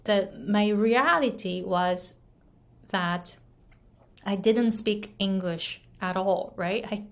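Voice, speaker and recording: Japanese-accented woman, unhurried coaching vlog, earnest and chatty with "right?" tags. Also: medium pitch. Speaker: English pronunciation coach. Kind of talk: casual motivational talk to camera.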